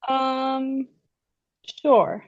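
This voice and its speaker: Young woman, slightly hoarse, giggly casual chat, somewhat high voice, dry muffled sound. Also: steady tone, dry recording. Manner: giggly, chatty, drawn-out fillers. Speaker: podcast co-host.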